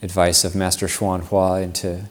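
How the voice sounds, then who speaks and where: A man, a Buddhist monk, giving a Dharma talk, telling a personal story in a reflective, unhurried way with frequent restarts. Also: sits low, monotone.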